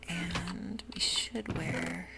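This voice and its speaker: A woman speaks in a slow, gravelly whisper, hushed and soft, with many little ums. Her voice sits a touch low, and keys click behind her as she plays a game at her desk. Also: steady tone, heavy background noise. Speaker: ASMR gaming creator. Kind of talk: whispered ASMR gameplay narration.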